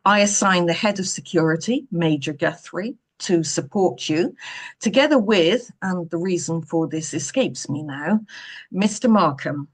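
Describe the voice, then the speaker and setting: A woman voicing a stern, exacting male boss in an audiobook, measured, clipped and pointedly formal. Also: on the low side, expressive, breathy edge. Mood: sardonic, exasperated.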